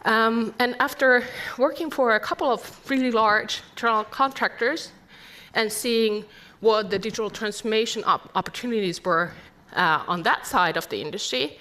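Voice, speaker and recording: A woman's voice: on the high side, moderate pace, sharp tone. A middle-aged woman from Finland with a Finnish accent, giving an earnest conference presentation full of rhetorical questions. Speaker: conference speaker.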